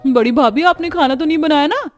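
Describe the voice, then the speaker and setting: A woman's voice: high-pitched, brisk, faint music behind, pitched above the performer's own voice, close to an everyday voice. A woman voicing an eager, pushy young sister-in-law who speaks insistently in a cartoon family story. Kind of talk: Hindi cartoon story dialogue.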